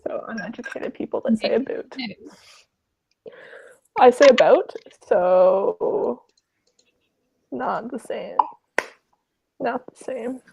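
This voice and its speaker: A woman's voice: fairly high pitch, takes it slowly, vocal fry, pauses often, darkish tone, slightly rough. Blunt teasing young woman from Canada. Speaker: chat participant. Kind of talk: casual group chat. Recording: dull lo-fi recording.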